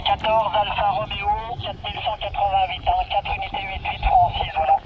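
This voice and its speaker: A man with a high voice speaks over a CB transmission, sounding distant and buried in heavy static-like noise. He chats amiably as a radio operator, often doubling his phrases. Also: flat delivery, normal speed. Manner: repetitive, friendly. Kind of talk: casual radio contact chat. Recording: distant, muffled, very noisy radio link.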